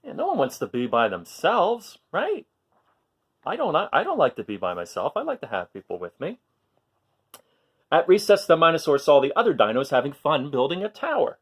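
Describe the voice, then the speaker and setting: A man reads a picture book aloud for young children. His pitch swings up and down a lot, and he breaks into chatty, questioning asides to his listeners in an expressive way. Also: normal speed, breathy edge. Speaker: children's storytime reader.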